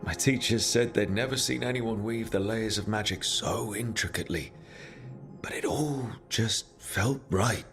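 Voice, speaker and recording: Low-voiced, wry fantasy game hero. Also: male voice, unhurried. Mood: proud, wry. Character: confident, kindly rebel assassin mage, young male hero. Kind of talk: video game dialogue and reflective monologue. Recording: game music behind the voice.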